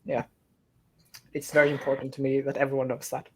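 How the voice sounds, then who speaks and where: A man's voice: medium pitch, monotone, a little fast, a crowd in the background, rough recording. A man from Sweden who studies theology answers hesitantly, with lots of fillers, over a video call. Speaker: theology student.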